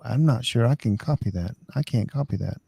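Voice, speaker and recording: Mellow American man, casual live host. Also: medium pitch, brisk, gravelly, slightly rough, dry recording, clean studio sound. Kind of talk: casual live chat, rambling tech advice.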